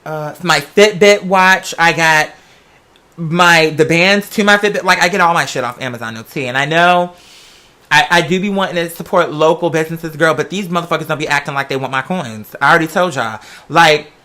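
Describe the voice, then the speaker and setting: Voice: mid-range voice, normal speed, sharp tone. An American video creator chatting casually and rambling, with a sassy, campy, theatrical way of talking full of drag slang. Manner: rambling, digressive. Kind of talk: casual chatty vlog monologue.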